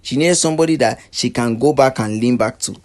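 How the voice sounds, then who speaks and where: Male gossip channel host, medium pitch, emphatic monologue style, circles back over points for stress. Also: fairly quick, a little mellow. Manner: emphatic, repetitive, rhetorical questions.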